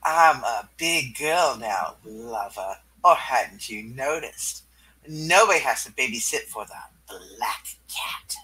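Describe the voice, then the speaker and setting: A man's voice: medium pitch, animated, slow pace, bright, forceful tone, slightly rough, full character voice, poor audio. A man voicing a seductive, thrill-seeking female cat burglar in a comic book reading, with a purring, teasing, flirty delivery. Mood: flirty, insistent.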